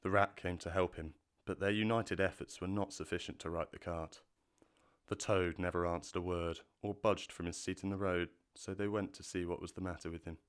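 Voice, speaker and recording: Deep, clear male storybook narrator. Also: steady tone, a little fast.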